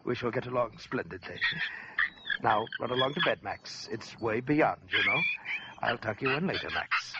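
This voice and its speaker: An actor playing a fat, sinister collector who talks in a florid, mocking and formal way, in 1940s radio acting, on a lo-fi old recording. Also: male voice, mid-range voice, expressive, normal speed, very noisy, lightly acted. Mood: silky, mocking. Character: sinister suave fat collector, man, middle-aged.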